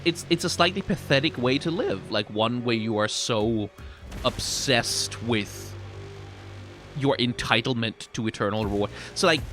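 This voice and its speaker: A man giving casual, off-the-cuff reaction commentary in an animated, colloquial, sardonic way with some swearing. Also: medium pitch. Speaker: reaction video host, commentator.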